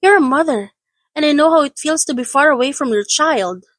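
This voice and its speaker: A woman's voice: high-pitched, animated, moderate pace, no room sound, clean studio sound, voice pushed up. A woman voicing a smug female rival in an audio drama, taunting with mocking false sweetness. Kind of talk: Tagalog audio drama acting.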